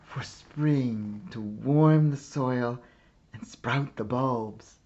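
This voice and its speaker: A man reading a children's picture book aloud like a teacher, with deliberate, expressive phrasing and pauses. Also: medium pitch, muffled recording. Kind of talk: slow children's storybook read-aloud.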